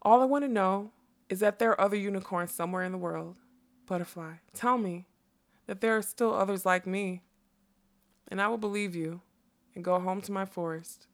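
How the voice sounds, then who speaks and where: Casting: female reader as storybook unicorn, female, gentle, lonely, earnest and imploring. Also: medium pitch, normal speed, lightly acted. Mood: pleading. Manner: earnest, pleading. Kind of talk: acted audiobook storytelling.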